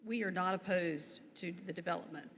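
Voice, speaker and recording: A woman's voice: fairly low pitch, fairly quick, a bit bright. A woman giving semi-formal public comment as a resident, firm but polite. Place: large meeting room. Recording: echoey hall, some background noise.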